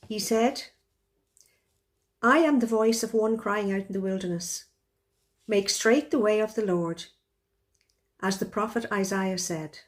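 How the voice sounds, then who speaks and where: A woman reads scripture aloud as a lay reader. Her voice sits at an average pitch as she delivers the written passage. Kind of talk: scripted Bible reading aloud.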